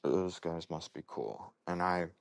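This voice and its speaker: A man leaving a casual voicemail as a listener, talking haltingly in short clipped phrases. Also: deep voice, gravelly, smooth, no echo, clean studio sound.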